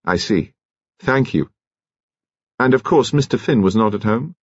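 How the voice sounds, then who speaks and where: A man playing a courteous English police detective in an audiobook, calm, probing and gently authoritative. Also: on the low side, husky, voice pushed up. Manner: polite, measured, gently authoritative. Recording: muffled, echoey room.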